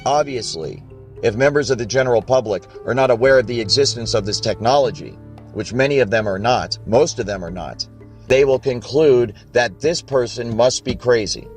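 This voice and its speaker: A man of middling pitch speaks in an impassioned rant. He presses each point urgently and drops curses for emphasis. Faint music runs behind. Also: moderate pace.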